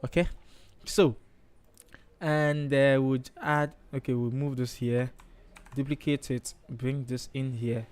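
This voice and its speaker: A man's voice: mid-range voice, fairly even intonation, slow, a little mellow, clear, resonant tone. A man from Ghana with a Ghanaian accent, hosting a casual live design stream and chatting as he works, often checking in with "okay". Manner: chatty, thinks aloud, frequent okays. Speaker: livestream host, designer.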